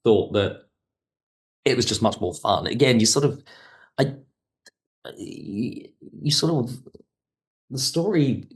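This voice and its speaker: A man's voice: mid-range voice, lively intonation, slow pace, long pauses, slightly rough. A man talking casually as an interview guest, thinking aloud in broken phrases as he explains his creative work. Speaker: podcast guest, audio drama writer.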